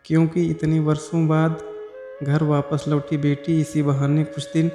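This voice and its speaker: A man's voice: a little high, completely flat delivery, a little slow. A man narrating a scripted story as a storyteller. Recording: echoey hall-like reverb over music. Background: background music.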